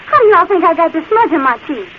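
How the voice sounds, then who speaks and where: Through a noisy, dull old radio recording comes a plucky young newspaperwoman. She has a high voice and a Southern US accent, coy and folksy, all mock innocence in 1940s drama style. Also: very animated, fast. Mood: coy, eager. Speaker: newspaper reporter.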